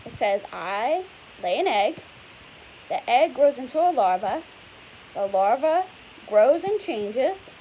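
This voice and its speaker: A woman's voice: on the high side, sing-song, slow, long pauses, warm tone, rough recording. A woman reading a picture book aloud to young children, patiently explaining it in simple words and putting questions to the listener. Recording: clear background hiss and noise.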